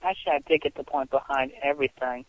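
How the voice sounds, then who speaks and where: A man's voice: medium pitch, fairly even intonation, fast, dry recording. A man on a phone call giving short, defensive replies, hesitantly repeating the starts of his phrases. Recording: tinny narrowband phone line.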